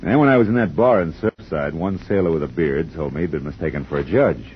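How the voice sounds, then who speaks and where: An actor playing a world-weary private detective in 1950s radio noir, with a wry, hard-boiled manner. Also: male voice, a bass voice, fast talker, slight background noise. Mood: wry, casual. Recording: dull 1950s radio recording.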